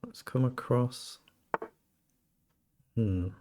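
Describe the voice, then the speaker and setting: A British man with a slightly croaky voice, casually commentating his own chess game and thinking aloud. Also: medium pitch, drawn-out words, noisy background. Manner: thinking aloud, self-questioning. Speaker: chess streamer.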